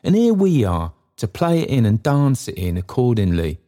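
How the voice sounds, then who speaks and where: A man's voice: medium pitch, sing-song, moderate pace, warm tone, pitched above the performer's own voice, close to an everyday voice. A man voicing a jovial drummer giving a hearty, plain-spoken speech of good wishes.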